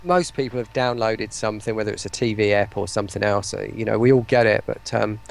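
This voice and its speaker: Man in casual, halting interview chat. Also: fairly low pitch, creaky. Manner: hesitant, repeats words mid-sentence. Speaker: interview guest, voice pack producer.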